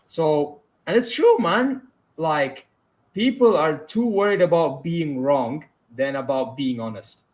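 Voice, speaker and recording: A man from Saudi Arabia whose English carries a British-influenced accent, bantering on a livestream. His voice sits a little higher than most men's, and he fills his talk with "bro" and "you know what I mean". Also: expressive, slow. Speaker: co-host, channel owner. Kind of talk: casual livestream chat.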